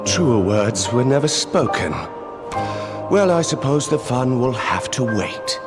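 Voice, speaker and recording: An actor playing a suave, sardonic villain in a video game, measured, formal and sly. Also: male voice, medium pitch, big pitch swings, over loud music. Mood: sly amusement. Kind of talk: video game cutscene acting.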